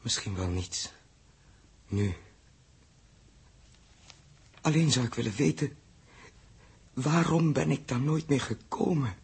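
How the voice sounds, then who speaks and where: An actor playing a tormented, guilt-ridden, feverish man in a 1960s radio drama, halting and repeating his words. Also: medium pitch, expressive, vocal fry, darkish tone, husky, exaggerated voice. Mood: guilty, troubled.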